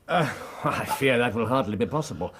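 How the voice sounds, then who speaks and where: An actor playing a brisk, commanding, impatient Victorian detective in a period radio drama, with a clipped, incisive, dry delivery. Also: male voice, mid-range voice, animated, a bit bright, slight background noise. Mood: businesslike.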